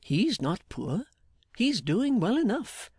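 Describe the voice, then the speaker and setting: A man voicing a jolly, blustering older English gentleman in an audiobook, fatherly, emphatic and effusive. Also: sits high, sing-song, slow pace, breathy edge, no room sound, clean studio sound, pitched above the performer's own voice, full character voice. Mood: hearty, excited. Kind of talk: dramatised audiobook character.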